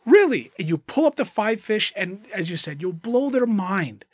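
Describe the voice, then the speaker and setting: A man's voice: high voice, very animated, moderate pace, darkish tone, slightly rough, no room sound. A man hosting a podcast, speaking in an upbeat, encouraging way directly to listeners. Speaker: host.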